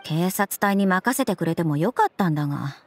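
An actress playing a stern, principled young police officer in a video game, with formal, clipped, businesslike speech. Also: female voice, on the low side, animated, a little fast, faint music behind. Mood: dry, reproving. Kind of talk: Japanese game voice acting.

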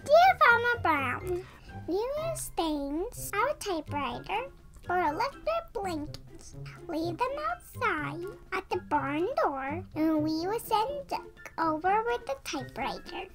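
Child reader, very high and hugely animated, sing-song storybook delivery with music behind. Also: slow. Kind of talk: children's storybook read-aloud.

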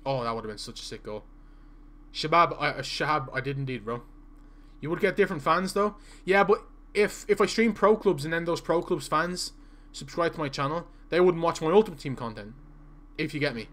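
A young Irish man streams from a small room at home, talking casually to his viewers as he plays. His voice sits a little high for a man, and he answers chat messages in a loose, rambling way. Now and then he trips over a word with a stutter. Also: brisk, a bit bright. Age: young adult. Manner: chatty, reads chat aloud, stutters at times. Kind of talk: casual live-stream chat while playing.

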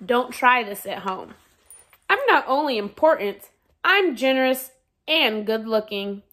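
A self-important talking Sun from a storybook, played by a woman reading aloud, high in pitch and unhurried. The delivery is playful, showy and full of bragging. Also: lively intonation, fairly bright tone. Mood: cheeky, proud. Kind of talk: children's storybook read-aloud.

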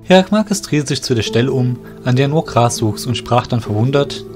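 Male fantasy narrator over clear music. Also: medium pitch, expressive, a little fast. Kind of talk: scripted fantasy audio drama narration.

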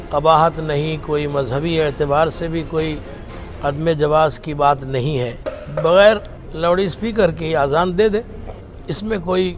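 Older cleric, slightly high voice. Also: male voice. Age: 60s. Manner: measured, halting phrases with formal religious vocabulary. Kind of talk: formal public statement. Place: indoor meeting room with others seated. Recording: faint room noise behind the voice.

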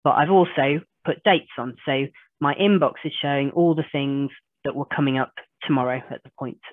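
Hoarse lady in her 40s doing a chatty webinar demo, dry tinny call sound. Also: low voice, normal speed, no echo. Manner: chatty, self-deprecating explainer walking through screen demos. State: hoarse with a cold. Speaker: business trainer. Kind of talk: online training webinar. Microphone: video call webcam. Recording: tinny phone-like call audio.

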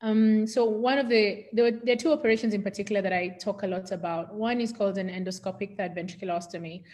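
A woman's voice: mid-range voice, steady tone, fast talker, a little mellow. Articulate Kenyan woman explaining her profession. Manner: articulate, explanatory, defines medical terms. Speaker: neurosurgeon interview guest. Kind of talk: conversational explanation in an interview.

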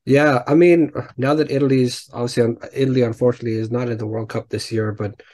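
A young man hosting a podcast interview from home on a headset, speaking haltingly with many restarts. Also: mid-range voice, steady tone, a little mellow. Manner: hesitant, many fillers and restarts. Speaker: podcast host. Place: home room, indoors. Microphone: headset on video call.

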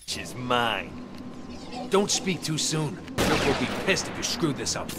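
A man's voice: medium pitch, sing-song, a little slow, background noise. An actor playing a tough henchman sniper in a video game, blunt and needling. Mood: taunting.

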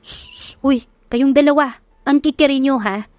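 A woman's voice: high voice, moderate pace, a little mellow, no room sound, pitched above the performer's own voice, lightly acted. A woman voicing a bashful, defensive young woman in an audio romance, flustered and protesting, with a Filipino accent. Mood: scolding.

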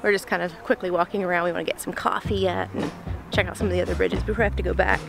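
A woman's voice: fairly low pitch, fairly even intonation, racing, vocal fry. A woman in her thirties with a Pennsylvania Dutch accent, chatting casually into a handheld camera for her travel vlog. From Pennsylvania, USA. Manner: chatty, laughs often. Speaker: vlogger. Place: car and outdoors in a lakeside town. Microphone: handheld camera at arm's length. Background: background music.

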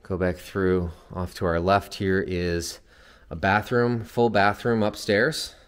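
A man with a fairly low voice narrates a house tour off the cuff. He explains features informally, like a builder's representative showing a client around. His voice carries long reverb from the empty interior. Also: fairly even intonation, slow. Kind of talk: casual unscripted walkthrough narration. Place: empty new house, indoors.

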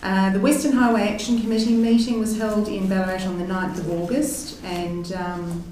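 A woman giving a measured, formal councillor's report at a council meeting. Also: medium pitch, little pitch movement, a little mellow, slight background noise. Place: large council meeting room. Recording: echoey meeting room from far off.

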